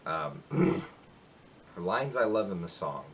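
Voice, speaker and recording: Low-voiced man rambling about a song. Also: big pitch swings, slow, highs cut off, slight background noise. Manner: rambling, reflective, many fillers. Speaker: musician covering a song. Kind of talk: casual spoken intro to a cover. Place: home music room.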